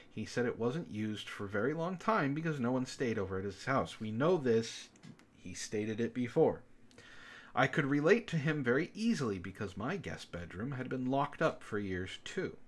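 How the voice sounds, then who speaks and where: A man with a mid-pitched, very lively voice reads fiction aloud as a book club co-host. His delivery swings expressively up and down, and he drops in sarcastic, exasperated asides. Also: moderate pace. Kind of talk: expressive reading of amateur fiction with mocking asides.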